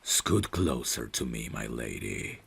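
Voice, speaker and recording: Guy doing a devoted vampire butler, asmr roleplay, super low gravelly voice, unhurried, polite and gentle. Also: animated, full character voice. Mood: tender, deferential. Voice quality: deep, gravelly, hushed with breathy whispers. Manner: slow, formal, gentle, deferential. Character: devoted, courteous male vampire butler, tender and protective. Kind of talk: ASMR roleplay audio drama.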